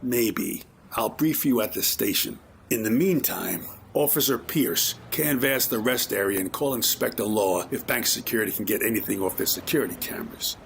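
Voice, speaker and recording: A man's voice: lowish voice, lively intonation, normal speed, creaky, few pauses, a little hiss or hum. A man playing a stern, irritable police captain with an authoritative, confrontational manner in an audio crime drama. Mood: commanding.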